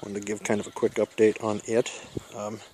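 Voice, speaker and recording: A man's voice: fairly low pitch, brisk, a little hiss or hum. A man giving a casual, rambling walk-through of his backyard garden, talking into a handheld camera as he walks. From Iowa. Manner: relaxed, rambling, many fillers. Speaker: permaculture vlogger. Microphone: handheld camera mic while walking.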